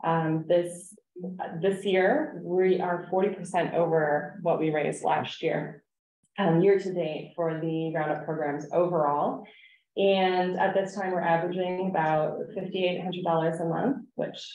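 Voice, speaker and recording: A woman with a somewhat low voice explains community programs at a co-op's annual meeting. She talks steadily, with a few ums and restarts, and the room gives her voice a clear echo. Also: mellow, lo-fi sound. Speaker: co-op staff presenter. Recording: echoey room.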